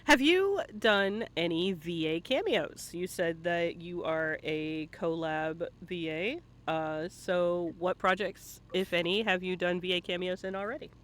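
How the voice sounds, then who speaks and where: A man's voice: sits high, a little slow, few pauses, fairly bright tone. A man hosting a casual live-stream interview, chatting with playful, teasing banter. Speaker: interviewer and show host.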